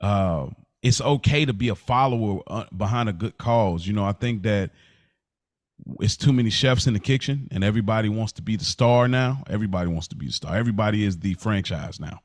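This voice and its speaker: A man in casual podcast conversation, talking in a halting way and often repeating himself. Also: fairly low pitch, no echo. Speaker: podcast speaker. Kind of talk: casual chat.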